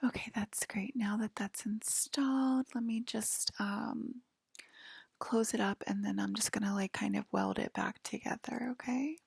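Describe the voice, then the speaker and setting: A woman doing an ASMR roleplay in a breathy whisper and soft talk, reassuring the listener like a clinician and often checking in. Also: medium pitch, steady tone, a little slow, dry recording, studio quality. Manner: hesitant, reassuring, clinical patter with frequent checking "okay?".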